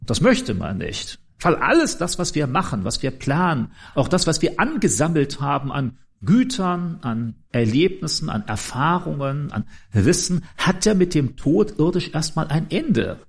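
Lively high-pitched male preacher. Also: unhurried, gravelly, few pauses, husky. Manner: explanatory, conversational, addresses listeners directly. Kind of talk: informal sermon.

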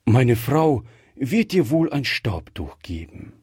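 Man playing a cautious, husky old shopkeeper. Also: medium pitch, big pitch swings, darkish tone, dry recording, studio quality, voice pushed up, heavily acted. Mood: kindly, cautious. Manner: measured, hesitant, muttering. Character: grey-headed old male shopkeeper, cautious, guilt-ridden, gruffly kind. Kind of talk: acted storybook dialogue.

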